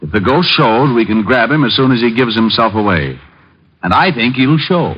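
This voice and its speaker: An actor playing a tough, wry private detective in an old-time radio drama, both narrating and trading wisecracks. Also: male voice, medium pitch, lively intonation, normal speed, lightly acted. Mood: urgent, planning. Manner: wry, sardonic. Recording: dull old radio broadcast with music.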